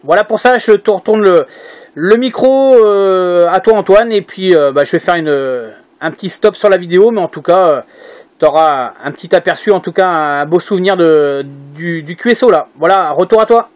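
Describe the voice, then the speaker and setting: A man's voice: high-pitched, normal speed. A man chatting casually as a radio operator, hesitant and repeating himself as he goes. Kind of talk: casual radio contact chat. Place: indoor room at home.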